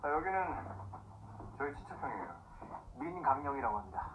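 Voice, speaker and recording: A man's voice: medium pitch, very animated, rapid-fire, background noise, close to an everyday voice. An actor playing a polite man in a crime drama, in screen dialogue. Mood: polite, social.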